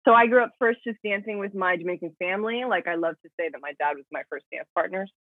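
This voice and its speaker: A woman's voice: medium pitch, quick pace, no echo. A young woman from Miami answering interview questions conversationally as a podcast guest, recorded over a remote call. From United States, grew up in Miami. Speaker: podcast guest, professional dancer. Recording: tinny, phone-like remote call.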